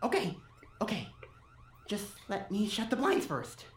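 A woman's voice: mid-range voice, sing-song, measured pace, noisy background. A woman acting in an audio drama as an intense, persuasive captive child, speaking earnestly and urgently. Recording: roomy, echoing student audio drama.

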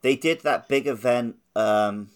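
A man's voice: mid-range voice, measured pace. A man co-hosting a podcast, talking in a casual, conversational way with lots of "like". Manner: conversational, peppers talk with "like". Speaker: podcast host.

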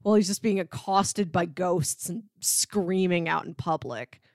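A woman's voice: on the low side, a little slow, darkish tone, no echo, clean studio sound. A woman co-hosting a film review podcast, explaining things in a loose, conversational way peppered with filler words. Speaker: podcast co-host. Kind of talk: casual film review chat.

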